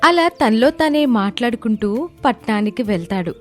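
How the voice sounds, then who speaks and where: Woman narrator, fairly high voice, scripted moral story, faint music behind. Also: animated, moderate pace.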